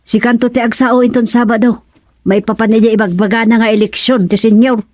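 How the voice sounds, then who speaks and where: A woman's voice: on the high side, steady tone, fairly quick, close to an everyday voice. A woman with an Ilocano accent acting a gossipy, insistent villager in a radio comedy-drama.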